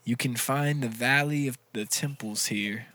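A man's voice: medium pitch, a little slow, bright, forceful tone. A man casually reading trivia clues aloud during a drinking game with friends, laughing often. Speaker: quiz reader in a drinking game.

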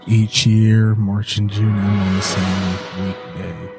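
A man's voice: fairly low pitch, little pitch movement, takes it slowly, gravelly, loud music behind the voice. A man on a podcast team reading short facts aloud.

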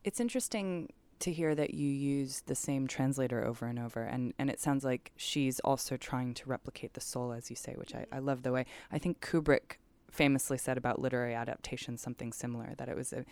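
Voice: mid-range voice, steady tone, fairly quick, hardly any pauses, a little mellow, clear, resonant tone. An interviewer asking long, reflective questions.

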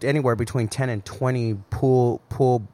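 A man's voice: medium pitch, fairly even intonation, mellow. A man stammering and restarting as he reads out an ad for a bar. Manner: halting, stammering, restarts words. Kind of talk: ad read for a local bar.

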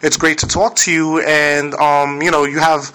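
A man's voice: on the high side, level tone, normal speed, pushed and bright, poor audio. A man hosting a podcast interview in a friendly, casual way. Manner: friendly, casual, informal grammar. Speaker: podcast host.

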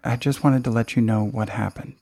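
A man's voice: medium pitch, steady tone, fairly quick, warm tone, no echo, studio quality, lightly acted. Man voicing a frantic, breathless, skittish mother. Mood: tired, nervous. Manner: breathless, skittish, stammering, words tumbling. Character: frightened, frantic mother of a college student.

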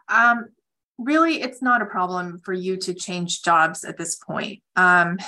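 Woman, lowish bright voice, advisory call-in style, measured, explanatory. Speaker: immigration attorney answering callers. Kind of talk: advisory question-and-answer on a conference call.

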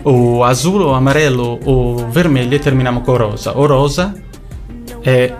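A man's voice: mid-range voice, normal speed, darkish tone, soft background music. A man from Italy speaking as an interview guest in non-native speech, with an Italian accent touched by Brazilian, explaining his work. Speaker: interview guest, visual show creator.

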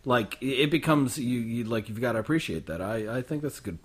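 A man's voice: mid-range voice, lively intonation, a little fast, slightly rough. A young adult man co-hosting a casual podcast, rambling with many restarts and fillers.

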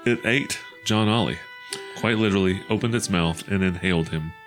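Man, very low voice, casual player chat, clear background music. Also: normal speed, a bit bright. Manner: casual, interjecting. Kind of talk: casual tabletop game chat.

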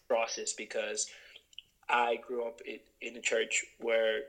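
A man's voice: medium pitch, fairly bright tone, rough recording. Earnest young man from Honduras, guest in a reflective talk, thinks aloud with restarts. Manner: earnest, searching, many restarts and fillers. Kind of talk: reflective interview conversation.

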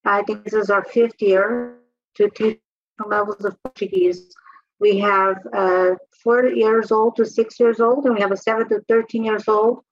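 A woman's voice: medium pitch, little pitch movement, a little slow, highs cut off. A woman from Angola who works for a social service agency, introducing herself politely and formally on a panel. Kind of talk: self-introduction.

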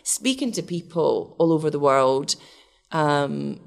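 A middle-aged woman from the west of Scotland with a Scottish accent, telling stories in a relaxed, animated way as an interview guest. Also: sits low, slow pace, gravelly. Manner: chatty, animated, laughs often. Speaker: interview guest, research scientist. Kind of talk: casual storytelling interview.

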